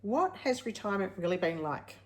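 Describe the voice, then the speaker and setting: A woman at an ordinary, middle pitch. She chats informally to her viewers as a vlog host. Her delivery is candid and rambling, as she circles back to rephrase and correct herself. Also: big pitch swings, a little fast. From Australia. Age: late 50s. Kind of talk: casual personal vlog, chatting to viewers.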